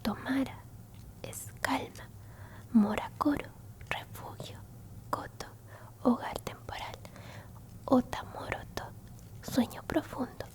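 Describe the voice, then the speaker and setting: A woman voicing a gentle alien grandmother in a soothing, tender, unhurried whisper for an ASMR roleplay. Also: sits high. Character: gentle alien grandmother comforting a lost traveler.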